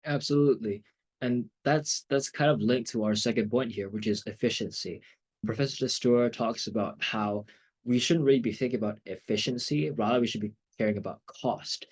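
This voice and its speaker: A man's voice: medium pitch, normal speed, breathy edge. Young man hosting a student podcast. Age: young adult. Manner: upbeat, often says "absolutely". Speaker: podcast host.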